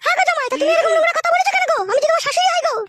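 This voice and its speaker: A woman's voice: rapid-fire, a bit bright, slight background noise. A woman voicing a foul-mouthed, scolding middle-aged mother-in-law in a comic cartoon. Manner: shrill, abusive, theatrically threatening.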